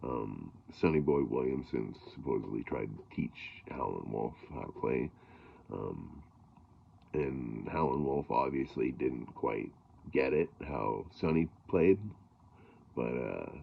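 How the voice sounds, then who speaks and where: A middle-aged man with a gravelly voice, talking casually about harmonicas in a rambling way, with lots of fillers and throat clearing. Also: extremely low pitch, lively intonation, takes it slowly, muffled recording. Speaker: blues harmonica player. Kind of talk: casual talk to camera.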